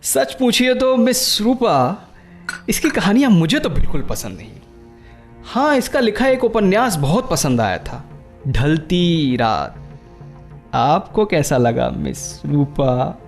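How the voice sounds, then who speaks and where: A man's voice: fairly high pitch, very animated, slow, gravelly, soft background music, heavily acted. An actor playing a vain, teasing, lovesick young man in a radio play, flamboyant and self-satisfied, dropping in English phrases. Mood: playful. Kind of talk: Hindi radio play acting.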